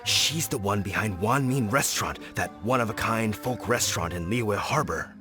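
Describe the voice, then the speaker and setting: A man's voice: on the low side, moderate pace, music in the background, lightly acted. An actor playing an eager, pushy male meat supplier in a game cutscene, talking like a salesman. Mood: pitching. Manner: salesman-like, persuasive. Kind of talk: video game cutscene dub.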